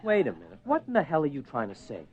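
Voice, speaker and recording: An exasperated, high-pitched husband. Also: male voice, wildly swooping pitch, quick pace, a little mellow. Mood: irritated, defiant. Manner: blunt, swearing, argumentative. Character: exasperated, short-tempered husband, worried about his obsessed wife. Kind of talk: dramatic radio horror play acting. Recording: dull lo-fi radio recording.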